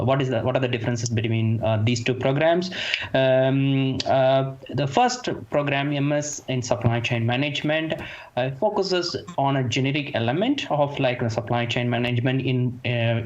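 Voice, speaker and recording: A man lecturing in a halting, repetitive way during an online question-and-answer session. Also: medium pitch. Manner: halting, repeats words. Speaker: supply chain lecturer. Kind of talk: webinar question-and-answer talk. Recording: muffled, hissy online call.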